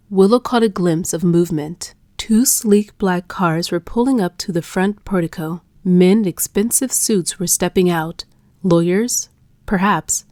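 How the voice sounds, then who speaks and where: Low-pitched, dry-sounding woman narrator. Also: dark tone, dry recording. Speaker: audiobook narrator. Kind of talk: scripted dark romance narration.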